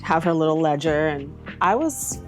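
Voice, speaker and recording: A woman's voice: low-pitched, expressive, moderate pace. A woman from Trinidad and Tobago speaking candidly and conversationally about herself in a reflective documentary interview. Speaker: aesthetician and entrepreneur. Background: background music.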